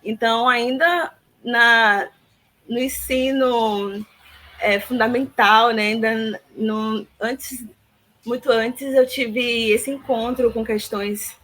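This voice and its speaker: A woman in her thirties from Salvador, Brazil, answering interview questions reflectively with many pauses and fillers. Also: a little high, a bit bright, poor audio. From Salvador, Bahia, Brazil. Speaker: guest, philosophy teacher.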